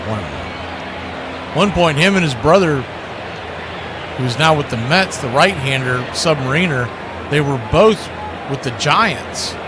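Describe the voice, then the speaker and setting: A man doing live radio baseball commentary as a play-by-play announcer, with folksy, colorful phrasing. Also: fairly high pitch. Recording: clear outdoor ambient noise behind.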